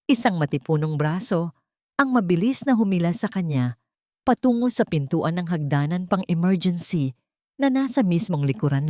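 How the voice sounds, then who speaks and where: A woman with a Filipino accent narrating a scripted dramatic audio story in a measured, solemn manner. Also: lowish voice, darkish tone, no room sound, studio quality.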